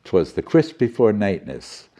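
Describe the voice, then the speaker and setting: Guy doing a jolly fussy professor reading to kids, slow and mellow, voice swooping all over. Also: fairly low pitch, big pitch swings. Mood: dramatic, excited. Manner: theatrical, fussy, chatty, tag questions, jumbled words. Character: doddering, jolly, theatrical male professor storyteller reading to children. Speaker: storyteller. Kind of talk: children's storybook reading with playful asides.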